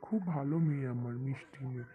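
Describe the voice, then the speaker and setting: A man's voice: mid-range voice, fairly even intonation, fast, narrow, tinny audio, close to an everyday voice. A man voicing an earnest, plain-spoken village family man in a cartoon story. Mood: eager, obliging. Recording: noisy track with clicks.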